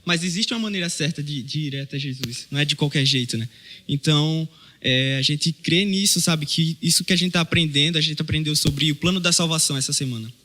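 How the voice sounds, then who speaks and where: A man with a Brazilian Portuguese accent, a mission trainee talking earnestly on a podcast, often pausing and restarting his sentences. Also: on the high side, sharp tone. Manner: earnest, hesitant with many fillers and restarts. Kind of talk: casual podcast chat.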